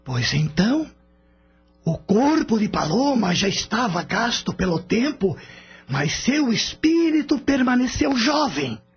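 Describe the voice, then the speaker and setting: A wise, patient spirit mentor played by a man in a radio drama. The voice is high for a man and swoops expressively, with a husky grain. The recording is a bit dull. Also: sing-song, slow, exaggerated voice. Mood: patient, reverent. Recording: dull lo-fi audio with background music.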